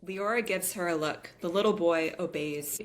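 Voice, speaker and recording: A woman's voice: lowish voice, moderate pace. A woman reading screenplay stage directions as narrator in scripted table-read style.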